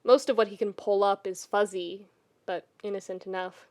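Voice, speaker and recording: A woman reading a scripted story as a podfic narrator, with expressive, intimate storytelling. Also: mid-range voice, normal speed.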